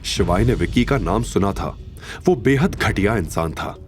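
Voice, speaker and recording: A man narrating a scripted story in a dramatic, expressive and suspenseful manner. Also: fairly low pitch, a little slow, faint music behind.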